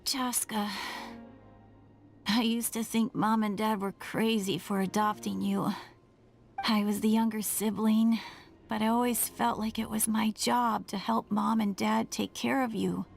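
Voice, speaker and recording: A woman voicing a gentle, frail, self-blaming younger sibling in a dramatic video game, with sighing, halting, earnest speech. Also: mid-range voice, level tone, creaky, faint music behind. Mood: regretful, weary. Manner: slow, halting, earnest confession.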